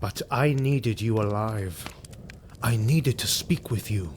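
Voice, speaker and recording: An actor playing a grim, vengeful Imperial officer in a fantasy audio drama, speaking solemnly and formally with deliberate emphasis. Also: male voice, fairly low pitch, darkish tone, a little hiss or hum. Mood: probing, measured. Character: grim, vengeful male Imperial army officer recounting war.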